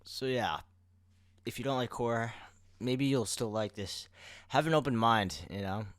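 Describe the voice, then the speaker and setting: A man's voice: medium pitch, a little slow, no echo. A man talking casually as co-host of a podcast, addressing listeners in everyday conversation. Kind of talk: casual chat.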